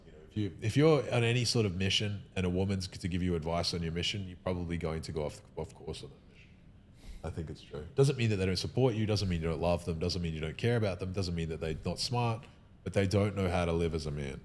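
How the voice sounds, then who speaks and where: A man speaks in a podcast conversation with a very low voice and a quick pace. He is emphatic and opinionated, often starting a sentence and restarting it. A faint rumbling noise sits under the recording.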